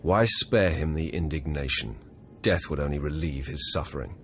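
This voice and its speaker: An actor playing a cool, calculating young Sith acolyte in a video game, measured and sardonic. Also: male voice, a bass voice, a bit bright, slight background noise. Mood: composed, deferential. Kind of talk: video game cutscene acting.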